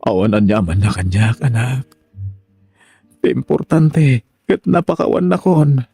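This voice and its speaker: A man's voice: medium pitch, measured pace, gravelly, warm tone, breathy edge, soft background music. A man acting in a radio drama as a tender, remorseful middle-aged father back from prison, asking forgiveness. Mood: tender, grateful.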